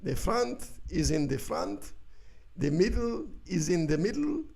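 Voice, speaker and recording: A man voicing a slow, pompous, dim-witted fake insect expert, lecturing solemnly in a radio comedy. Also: a little high, big pitch swings, creaky, darkish tone, voice pushed up, heavily acted. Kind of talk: parody news interview clip.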